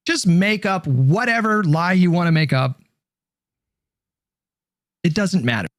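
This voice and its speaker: A man rants in exasperation as if mid-debate on a livestream. His pitch jumps around a great deal and he swears as he goes. The recording is tight and dry. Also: animated, measured pace, fairly bright tone, no echo. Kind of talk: heated livestream debate talk.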